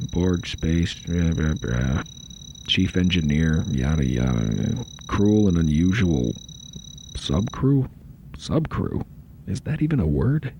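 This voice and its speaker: An actor playing a busy, wry starship first officer in a science fiction audio drama, dry and authoritative. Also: male voice, low voice, gravelly, full character voice. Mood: distracted, muttering, then wry, brisk. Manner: dry, sardonic, muttering to himself. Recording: dull lo-fi sound with faint noise.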